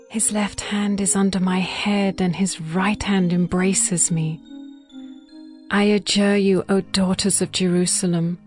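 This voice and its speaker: A woman playing a tender young bride passionately in love, reading ancient poetry slowly and intimately in a dramatized scripture audiobook. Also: lowish voice, a little mellow. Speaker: actress in a dramatized Bible audiobook.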